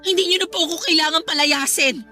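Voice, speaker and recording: High, bright-voiced wounded niece. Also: female voice, quick pace, soft background music. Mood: tearful, aggrieved. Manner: aggrieved, pleading yet firm. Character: wounded, resentful young married niece standing her ground. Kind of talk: Tagalog radio drama acting.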